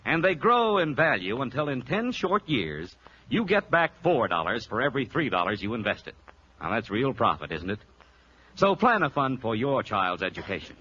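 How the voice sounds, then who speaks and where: Bright, dramatically inflected male radio announcer. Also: medium pitch, big pitch swings, normal speed. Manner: polished, persuasive salesmanship. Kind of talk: 1940s scripted radio announcing. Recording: muffled, faintly noisy vintage radio recording.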